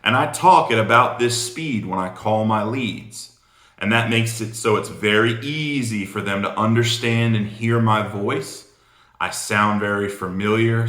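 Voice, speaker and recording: A man's voice: on the low side, measured pace, a bit bright. A man giving an instructional sales talk as a coach, emphatic and informal, blunt, repeating words for punch. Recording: roomy, echoing room sound.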